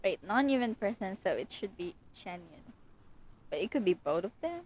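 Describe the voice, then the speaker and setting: A woman's voice: mid-range voice, sing-song, moderate pace, a little mellow. A woman livestreaming a game, casually reacting to the dialogue as she follows along.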